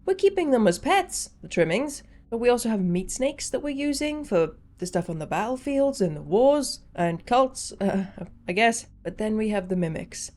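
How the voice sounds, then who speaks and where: A woman's voice: a little high, sing-song, moderate pace, hardly any pauses, darkish tone. A woman reacting casually as a video host, with a playful, jokey, slangy way of talking.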